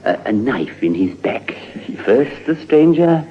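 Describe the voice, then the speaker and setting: A man's voice: mid-range voice, sing-song, slow. A man acting a mad, scheming old uncle in an old-time radio drama, with an unctuous, theatrical way of speaking. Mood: gleefully plotting. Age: older. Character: mad, sinister old uncle scheming with his brother for gold. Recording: muffled, band-limited old radio recording.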